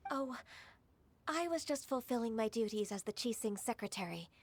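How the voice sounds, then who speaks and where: An actress playing a gentle, modest young secretary in a video game, speaking politely and formally in measured phrases. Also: female voice, a little high, lively intonation. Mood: modest, dutiful.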